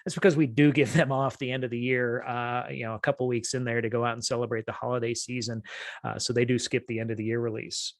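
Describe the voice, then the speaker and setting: A man with a Southern US accent giving a casual, fast-talking webinar presentation as a solutions architect, chatty and self-deprecating. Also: medium pitch, dry recording, clean studio sound.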